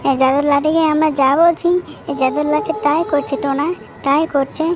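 A woman's voice: high-pitched, little pitch movement, brisk, music in the background, natural voice. A woman voicing a small, eager bird in a children's cartoon, exclaiming and repeating phrases. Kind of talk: children's cartoon story dialogue.

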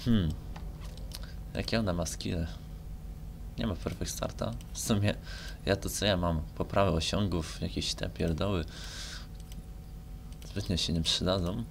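Casting: young man, low voice, casual gamer commentary, hesitant and colloquial, noisy home mic. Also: steady tone, measured pace, vocal fry, long pauses. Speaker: gaming video creator. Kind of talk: casual rambling talk over gameplay. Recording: noisy home microphone recording. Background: noise with car engine sounds from the game.

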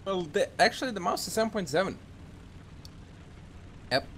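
A man's voice: high voice, expressive, normal speed, fairly bright tone. A man chatting casually and calling out to a friend while gaming, bantering and swearing freely. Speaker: gamer playing with a friend. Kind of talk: casual gaming chat, callouts. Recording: clear background game noise.

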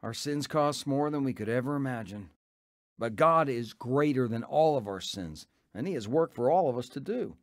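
A man's voice: medium pitch, lively intonation, moderate pace, dry recording, clean studio sound, close to an everyday voice. A man acting an earnest, devout husband who tells his own story in a radio drama. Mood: earnest, reflective. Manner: earnest, heartfelt. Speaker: narrator and lead actor. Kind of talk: radio drama acting and first-person narration.

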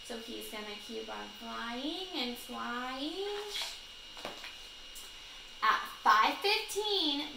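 A young adult woman reading a children's storybook aloud in a deliberate way, stressing key words, recorded at home a short distance from the mic. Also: high-pitched. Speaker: read-aloud teacher. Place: small room at home, indoors. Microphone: camera or phone a short distance away. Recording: roomy, clearly noisy home recording.

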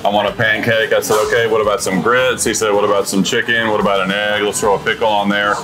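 A man's voice: on the low side, flat delivery, fast. A man, a restaurant owner chatting casually and enthusiastically about his dishes in a dining room on a lapel mic. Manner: easygoing, enthusiastic, fillers like "you know". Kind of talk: casual chat about food. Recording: music playing underneath.

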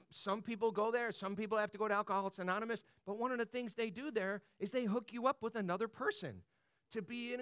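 Guy pastor preaching, super animated up and down delivery, talks like your bro, dry close sound. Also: very high-pitched, no room sound. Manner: animated, colloquial, punctuates points with "right?" and "bro". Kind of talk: conversational, motivational sermon.

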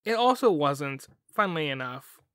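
Guy with a highish voice narrating a history podcast, kinda wry, real dry sound. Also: big pitch swings, normal speed, a bit bright, no echo. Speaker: podcast host. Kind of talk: scripted documentary narration.

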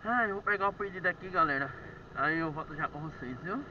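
High-pitched Brazilian man on a noisy motorbike. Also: fast talker. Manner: informal, addresses viewers repeatedly. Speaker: motorcycle vlogger and delivery rider. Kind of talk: casual chat to viewers while riding. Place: outdoors on a moving motorcycle at night. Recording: muffled action-camera audio with loud engine noise. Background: motorcycle engine and road noise.

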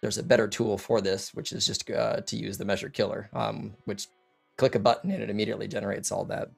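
A man's voice: fairly low pitch, little pitch movement, fast talker, creaky, breathy edge, faint music behind. A man in his thirties hosting a casual interview over a video call from his home, chatting loosely with many fillers and restarts. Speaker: host. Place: home room with lit wall panels and couch.

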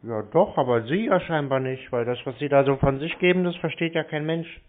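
Deadpan man on a phone call. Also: medium pitch, a little fast. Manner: deadpan, obtuse, needling. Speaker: prank call recipient baiting scammers. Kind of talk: phone conversation. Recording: narrow phone-call sound.

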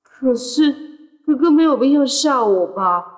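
A woman's voice: sits high, lively intonation, a little slow. A woman playing a curious, easily frightened young teenage boy in an audio drama. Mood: pondering, uneasy. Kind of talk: Mandarin audio drama acting.

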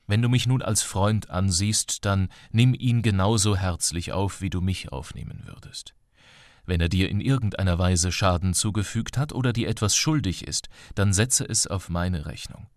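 A man narrating a Bible letter as an audiobook, in a measured, clearly phrased way. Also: low-pitched, no echo, clean studio sound.

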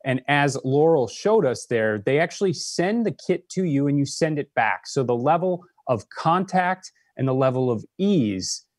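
A man in his thirties, a news reporter, wrapping up a story in a clear, conversational way from a room at home. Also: a little high, animated, moderate pace, hardly any pauses, no room sound, clean studio sound. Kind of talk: live news wrap-up.

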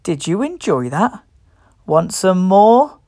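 Slow, animated woman reading to young children. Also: lowish voice, dry recording, clean studio sound. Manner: playful, encouraging, clearly addressing children. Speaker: children's storybook reader and host. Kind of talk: expressive picture-book read-aloud for young children.

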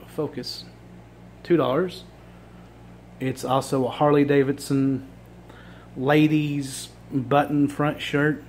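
Chatty man losing his voice, slow talk. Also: mid-range voice, slight background noise. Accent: Virginia. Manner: chatty, enthusiastic, frequent throat clearing. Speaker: thrift reseller vlogger. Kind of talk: casual show-and-tell vlog. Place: indoor room with items on a table. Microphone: phone camera held while filming.

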